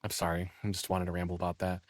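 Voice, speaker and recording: A man's voice: sits low, monotone, racing, no echo, clean studio sound. A man giving casual reaction commentary as a streamer, rambling, opinionated and free with swearing.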